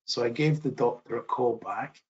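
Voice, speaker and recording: A man's voice: mid-range voice, dull audio. Modest middle-aged man presenting a webinar. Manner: modest, measured, with frequent restarts. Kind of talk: online presentation.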